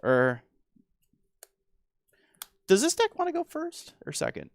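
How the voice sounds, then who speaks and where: A man, a guest player chatting casually while playing a card game, his voice going hoarse. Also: high-pitched, slow, dry recording. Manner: relaxed, lots of "like" and "dude".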